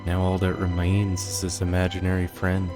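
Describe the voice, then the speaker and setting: A man giving casual, low-key commentary on a video game as he plays, musing to himself. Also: completely flat delivery, moderate pace, music in the background. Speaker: gameplay streamer.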